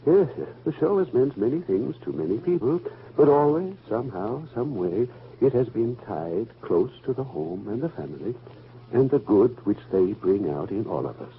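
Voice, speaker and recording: A man playing a reflective family man in a 1940s radio drama, speaking in a solemn, deliberate storytelling way. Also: medium pitch, lively intonation, natural voice. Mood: earnest. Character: reflective family man fondly recalling a radio show's history. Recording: muffled, noisy old radio recording.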